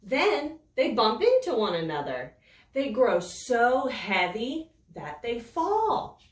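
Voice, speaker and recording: A woman reading a picture book aloud to children in a slow, expressive, sing-song storytelling voice. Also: fairly high pitch, recorded from a distance. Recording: roomy echo, voice set back from the mic.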